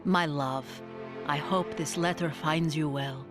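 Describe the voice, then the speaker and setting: An actress playing a loving, worried Soviet wife, softly reading a letter aloud. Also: female voice, low-pitched, steady tone, slow pace, music in the background. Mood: longing. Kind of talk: dramatic letter reading, video game.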